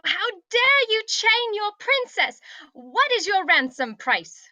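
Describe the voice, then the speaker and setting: A woman's voice: very high-pitched, very animated, slow, sharp tone, dry recording, exaggerated voice. A woman playing a haughty, bossy, petulant young princess in a comedic script read, with an imperious, commanding manner. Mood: indignant, demanding.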